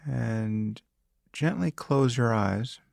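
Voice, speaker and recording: Male meditation guide, lowish gravelly voice, calm and measured, lots of space between phrases, close dry sound. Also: no echo. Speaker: meditation teacher. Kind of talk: guided meditation, spoken instruction.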